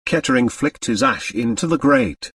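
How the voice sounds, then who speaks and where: A man's voice: mid-range voice, expressive, normal speed, fairly bright tone, breathy edge, no echo, studio quality, recorded from a distance. A man reading literary narration as an audiobook narrator.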